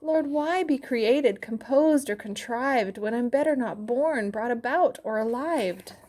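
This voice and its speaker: A woman's voice: fairly high pitch, measured pace, a little mellow, voice pushed up. A woman voicing a suffering righteous man who pleads with God in anguished rhyme. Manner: anguished, rhyming lament.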